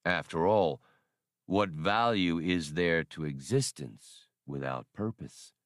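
A man reading an audiobook, voicing an old male labor droid who speaks in a polite, formal, slow drawl. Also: sits low, dry recording, clean studio sound. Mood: calm. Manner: formal, deferential.

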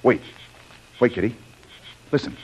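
A male actor playing a bold, insistent adventurer hero in an old radio serial, brisk and commanding. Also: a little mellow, lightly acted. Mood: urgent, insistent. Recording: muffled, husky old radio recording.

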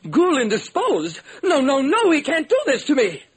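A man's voice: extremely high pitch, huge pitch swings, moderate pace, pitched above the performer's own voice, heavily acted. A man playing a flamboyant, fussy male film director in a radio drama, gushing and theatrical. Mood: exasperated. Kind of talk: classic radio detective drama acting.

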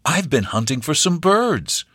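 Man playing a cheerful young hunter, coaxing and polite, a bit higher than his own voice, dry sound. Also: very animated, a little slow, a bit bright, breathy edge, no room sound, exaggerated voice. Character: friendly young male hunter, persuasive and polite.

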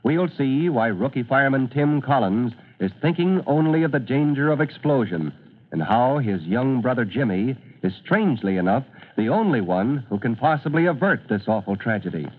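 A man's voice: medium pitch, big pitch swings, moderate pace. A man narrating a classic radio drama as announcer, grave, theatrical and emphatic. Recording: tinny vintage radio recording.

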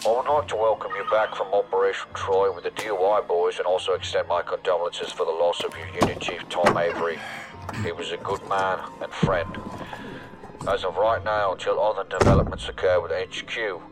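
An actor playing an authoritative federal section chief in an audio drama, speaking in a formal, measured, official way. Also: male voice, deep voice, flat delivery, over loud music, close to an everyday voice, low-quality recording. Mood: formal, grave.

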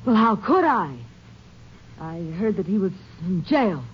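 A woman's voice: mid-range voice, big pitch swings, unhurried, a little hiss or hum. An actress playing a defensive woman who evades questioning in a 1950s radio drama. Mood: guarded, defensive. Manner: guarded, defensive, evasive. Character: evasive, defensive woman hiding a fugitive. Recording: muffled old 1950s radio recording.

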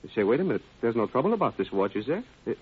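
A man's voice: medium pitch, big pitch swings, very fast, husky. A man playing a fussy, nervous pawnbroker in a 1930s radio crime drama. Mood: insistent, uneasy. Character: fussy, nervous male pawnbroker checking records. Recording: tinny, narrow old radio recording.

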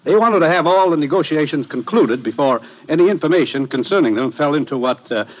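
An urgent, authoritative government chief played by a man in a fifties radio drama. He speaks formally, with expressive rises and falls, on a muffled old recording. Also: fairly high pitch, brisk, natural voice. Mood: grave, businesslike. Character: grave, businesslike male American commissioner briefing his agent. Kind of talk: 1950s radio drama acting.

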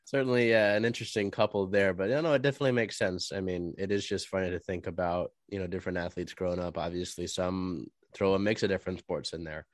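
This man is hosting a sports podcast interview while slightly under the weather, so his voice comes out a little nasal and stuffy. His pitch sits somewhat low and the sound is dry and close. He winds through long, roundabout questions. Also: fairly even intonation, fast talker, few pauses, no echo. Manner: rambling, long-winded questions. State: stuffy nose from a cold. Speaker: podcast host. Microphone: video call.

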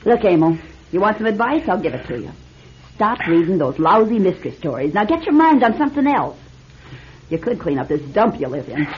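A woman's voice: medium pitch, sing-song, fairly quick, natural voice. An actress playing a blunt, exasperated, nagging landlady in a radio drama. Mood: exasperated scolding. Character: brusque, scolding landlady with a soft heart. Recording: dull, noisy old radio recording.

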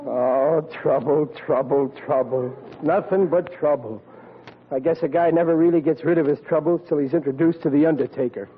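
Actor as a hapless, blustering dad, tinny old radio. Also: male voice, fairly high pitch, fairly even intonation, normal speed, slight background noise, natural voice. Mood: woeful. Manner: blustering, woeful, plain colloquial speech. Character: hapless, well-meaning working-class middle-aged father, easily flustered. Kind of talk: old-time radio comedy acting.

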